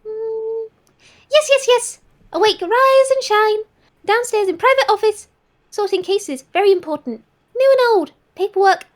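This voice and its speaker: A woman voicing an excitable, doting female house spirit that talks childlike, in clipped broken phrases, in a role-play. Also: very high voice, a little slow, pitched above the performer's own voice. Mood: chirpy, eager.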